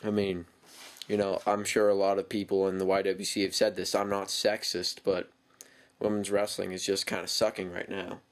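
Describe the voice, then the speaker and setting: A man's voice: low voice, level tone, normal speed. A man with a cold, talking through a casual wrestling show review with lots of ums and self-corrections. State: stuffy from a cold.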